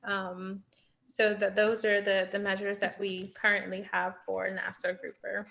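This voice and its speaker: Female scientist, crisp forward brightness, informal explainer delivery over a video call. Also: medium pitch, monotone, unhurried. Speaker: marine scientist guest.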